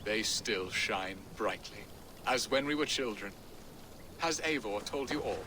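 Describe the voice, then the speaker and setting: A man's voice: on the low side, animated, a little slow, background noise. An actor playing a kindly, lovestruck middle-aged Saxon nobleman in a video game, courtly and heartfelt. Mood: tender, yearning. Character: sentimental, kindly aging Saxon nobleman in love. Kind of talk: dramatic video game acting.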